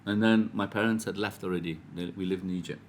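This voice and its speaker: A man's voice: sits low, steady tone, fast. A middle-aged Malaysian man with a Malaysian English accent, telling rambling stories about his life as a guest in a casual chat. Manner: rambling, anecdotal, many restarts. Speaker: guest filmmaker.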